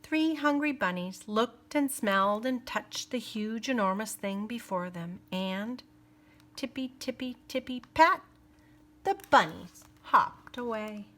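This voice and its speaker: A woman teacher reading a picture book aloud, pausing for teaching asides and dropping to playful whispers for suspense. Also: fairly high pitch, lively intonation, a bit bright.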